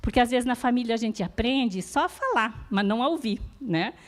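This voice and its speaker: A woman's voice: fairly high pitch, animated, brisk. A woman whose first language is not Portuguese, giving an earnest, encouraging church teaching talk about support groups and often checking in with her listeners.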